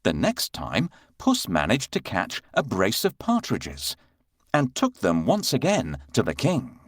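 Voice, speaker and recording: A man narrating a scripted children's story in a measured, expressive storyteller's manner. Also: medium pitch, dry recording, clean studio sound.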